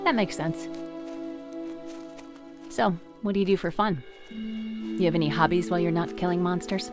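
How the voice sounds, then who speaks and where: A woman's voice: on the low side, normal speed, music in the background, close to an everyday voice. An actress playing a frank, curious village fisherwoman in an audio drama, blunt and searching with a teasing streak.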